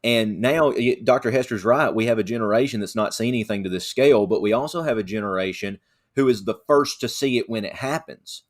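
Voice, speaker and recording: A man's voice: lowish voice, a little fast. A man co-hosting a podcast discussion, speaking in an earnest, conversational way. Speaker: podcast co-host.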